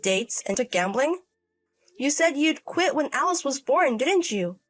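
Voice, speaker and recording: A woman's voice: high-pitched, sing-song, measured pace, pitched above the performer's own voice. A woman voicing a stern older mother and grandmother in a story drama, scolding and firm. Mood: stern, reproachful. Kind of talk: acted dialogue in a narrated drama story.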